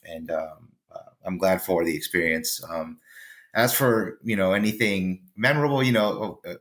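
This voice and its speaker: A man's voice: sits low, fairly bright tone. A man originally from Peru, a lobbyist guest on a podcast, talking casually with lots of fillers and restarts. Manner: rambling, many fillers and restarts. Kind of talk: casual interview chat.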